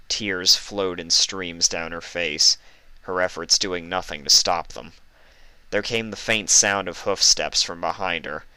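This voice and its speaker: A man with a deep voice narrates a story dramatically. His tone is bright and crisp, and the sound is dry and close, with no room on it. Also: dry recording. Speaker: fan fiction reader, narrator.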